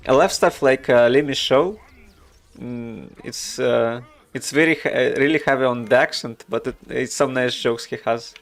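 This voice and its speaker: A man's voice: mid-range voice, moderate pace, creaky. A man from Russia with a Russian accent, chatting casually while streaming a game, thinking aloud with many fillers. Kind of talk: casual gameplay commentary.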